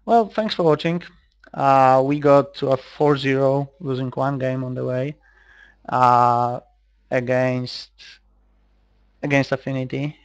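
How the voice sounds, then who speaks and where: A man's voice: medium pitch, steady tone, takes it slowly, long pauses, no echo, muffled recording. A man from Poland with a Polish accent giving casual, hesitant live commentary on a card game, thinking aloud as he plays. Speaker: online card game player recording gameplay.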